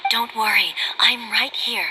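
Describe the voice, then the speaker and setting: A woman's voice: a little high, noisy background, natural voice. A woman as a stately, commanding deity ruler. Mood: soothing, firm. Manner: formal, solemn, measured authority. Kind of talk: dramatic video game dialogue. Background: music.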